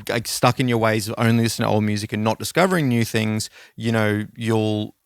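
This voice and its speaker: A man's voice: fairly low pitch, brisk, clear, resonant tone, dry recording, clean studio sound. A man co-hosting a podcast, chatting casually and rambling with lots of fillers. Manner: rambling, filler-heavy, relaxed. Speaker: podcast co-host.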